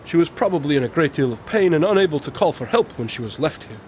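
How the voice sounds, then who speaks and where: A man's voice: on the high side, very animated, fast talker, darkish tone, slight background noise. A man acting in a radio drama as a brilliant, aloof detective, speaking formally and precisely. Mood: confident, probing. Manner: precise, formal, matter-of-fact. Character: brilliant, aloof male detective explaining his deductions.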